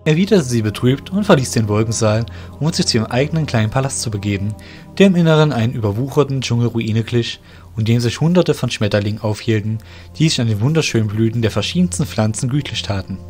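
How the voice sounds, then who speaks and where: Casting: male storyteller for fantasy radio play, mid-range voice, soft music underneath. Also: medium pitch, lively intonation, quick pace. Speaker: narrator. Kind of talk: scripted audio drama narration.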